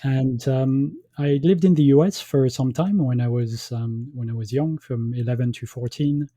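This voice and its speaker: A man raised in Belgium whose first language is French, speaking as a guest academic. His tone is deep-toned and mellow, and the sound is close and dry. He answers in measured phrases with frequent hesitations. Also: no echo. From grew up in Belgium, born in France. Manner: measured, thoughtful, with frequent "um" pauses. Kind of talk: conversational interview answers.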